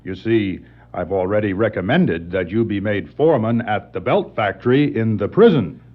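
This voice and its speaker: An actor playing an authoritative, dryly amused police commissioner in a 1960s radio-play style. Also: male voice, on the low side, animated, normal speed. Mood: wry. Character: authoritative, wryly amused middle-aged male police commissioner. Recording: dull 1960s vinyl record.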